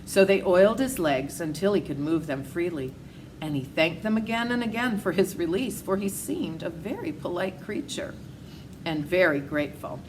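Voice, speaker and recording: A woman with a fairly low voice narrates a children's story aloud. There is clear background noise on the recording. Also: lively intonation, normal speed. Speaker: storybook reader.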